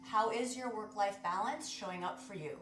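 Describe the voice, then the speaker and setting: A woman speaks as the host of a guided meditation and sound bath. Her voice sits at a middle pitch with measured, instructive phrasing. There is a clear roomy echo on the recording and music plays softly underneath. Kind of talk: guided meditation, spiritual talk to listeners.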